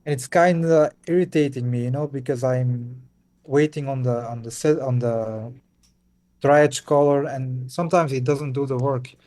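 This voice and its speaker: A man's voice: mid-range voice, steady tone, normal speed, a little mellow. A man from Belgium with a Belgian Dutch accent, a Dutch speaker, asking a question on a video call, hesitant with many uhs and restarts. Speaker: caller on a group call.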